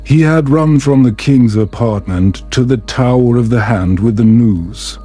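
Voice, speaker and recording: A man narrating a scripted bedtime story in a calm, soothing, measured manner. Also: mid-range voice, soft background music. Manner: soothing, measured, unhurried delivery.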